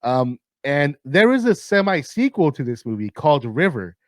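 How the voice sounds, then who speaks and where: Raspy-voiced man rambling about movies. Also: fairly high pitch, big pitch swings, moderate pace, no room sound, clean studio sound. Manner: profane, rambling, lots of restarts and fillers. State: raspy, tired voice. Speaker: host of a movie countdown. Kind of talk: casual rambling film talk.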